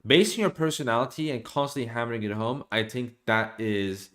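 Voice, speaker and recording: A young man from Norway streaming from a small room at home, giving an opinionated monologue to his desk webcam with animated gestures and frequent restarts. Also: medium pitch, brisk.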